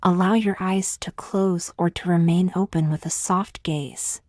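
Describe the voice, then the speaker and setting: A woman reading a scripted guided meditation in slow, gentle, measured phrases with long pauses. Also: lowish voice, fairly even intonation, no room sound, clean studio sound.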